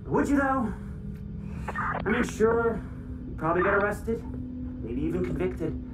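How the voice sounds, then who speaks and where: A man's voice: high-pitched, sing-song, measured pace, recorded from a distance, over music, rough recording. A man playing a manic, vengeful hacker prankster in a video game, with a theatrical, mocking, foul-mouthed delivery. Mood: taunting. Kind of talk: video game villain performance.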